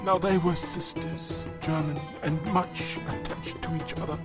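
A man voicing a grieving, dignified old father in an audio drama, with halting, formal, archaic phrasing. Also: fairly high pitch, steady tone, loud music behind the voice, pitched above the performer's own voice, close to an everyday voice. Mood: sorrowful, confiding.

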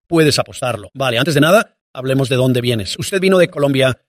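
A man's voice: medium pitch, lively intonation, a little fast, no echo, clean studio sound. A man hosting a podcast interview, talking in an earnest, upbeat way as he welcomes and questions his guest. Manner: earnest, upbeat, patriotic praise. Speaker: podcast host.

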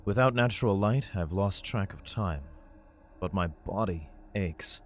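A man narrating an audio drama in the first person as a weary father, measured and grim. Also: low-pitched, a little hiss or hum. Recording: faint music behind.

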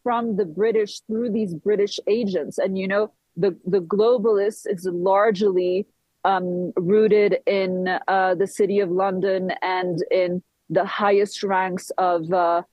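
A woman from Switzerland giving live political commentary as a guest, speaking haltingly with frequent pauses. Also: medium pitch, steady tone, darkish tone, dry recording, poor audio. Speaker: guest commentator reporting from a conference town.

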